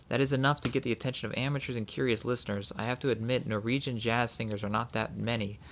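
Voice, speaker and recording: A man casually commenting on a game as he plays, thinking aloud and reading the notes he finds out loud. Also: medium pitch, creaky, slight background noise. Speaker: let's play host.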